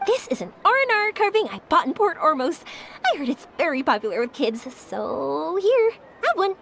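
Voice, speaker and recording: A woman playing a cheerful, chatty, warm-hearted young traveler in a video game, bubbly and encouraging. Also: sits high, very animated, moderate pace, gravelly, slight background noise, full character voice. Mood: kind, encouraging. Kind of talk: scripted video game story dialogue. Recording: studio voice over background music.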